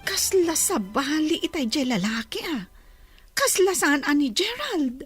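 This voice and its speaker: A woman playing a frank, observant young female friend in an audio drama, measured and matter-of-fact. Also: high voice, very animated, faint music behind. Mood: reasoning. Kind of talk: radio-style audio drama acting.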